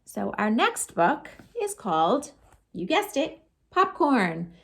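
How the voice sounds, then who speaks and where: A woman storytime host reading picture books aloud to children, expressive and sing-song, with chatty asides to her young listeners. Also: a little high, slow pace, creaky, slightly rough. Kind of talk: animated picture-book read-aloud for children.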